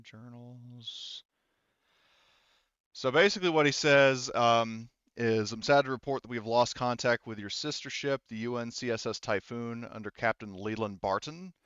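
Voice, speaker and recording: A man's voice: mid-range voice, fairly bright tone, smooth, dry recording, muffled recording. A man narrating a tabletop role-playing game as game master in a casual, informal, loosely phrased way.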